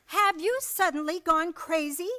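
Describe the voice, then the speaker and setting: An actress playing a spirited, insistent young fiancée in a live old-time radio play, with melodramatic, pleading delivery. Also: female voice, very high-pitched, unhurried, bright, forceful tone, full character voice. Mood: indignant.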